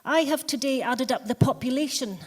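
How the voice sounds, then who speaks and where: A woman with a gentle Scottish Highland accent, chairing a convention and welcoming everyone in a measured public speech. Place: large hall. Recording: long hall reverb.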